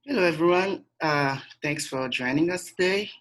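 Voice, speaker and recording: A man in his twenties from Haiti, a final-year medical student, introducing himself haltingly on a video call. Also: fairly high pitch, fairly bright tone.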